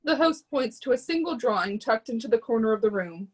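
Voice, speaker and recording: A woman's voice: on the high side, lively intonation, fairly quick, a little mellow. A woman reading stage directions as scripted narration for a readers theatre drama.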